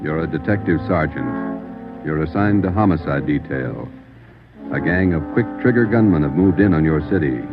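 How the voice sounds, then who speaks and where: A man acting as the grave, deliberate announcer of a 1940s radio police drama. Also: very deep voice, expressive. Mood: grave, solemn. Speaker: announcer, prologue narrator. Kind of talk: 1940s radio scripted narration. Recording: narrow old radio recording with music.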